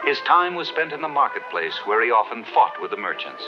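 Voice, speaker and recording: A man's voice: mid-range voice, big pitch swings, brisk, natural voice. A man narrating a fairy tale as the scripted storyteller of a 1970s cartoon. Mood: storytelling. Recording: dull old TV soundtrack, music behind.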